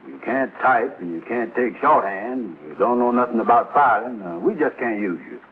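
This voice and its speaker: An actor playing a gullible, lovestruck middle-aged bachelor, with a folksy, musing delivery in 1950s American sitcom style. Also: male voice, mid-range voice, lively intonation, natural voice. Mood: wistful. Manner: folksy, ungrammatical, drawn-out musing. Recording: tinny, noisy vintage television soundtrack.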